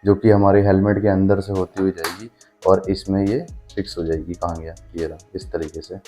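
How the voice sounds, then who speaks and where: Male vlogger, low and level delivery, chatty hands-on explainer tone, light music underneath. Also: little pitch movement, a little fast, mellow. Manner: informal, chatty, addresses viewers as brother. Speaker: motorcycle vlogger and product reviewer. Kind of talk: casual hands-on product demonstration.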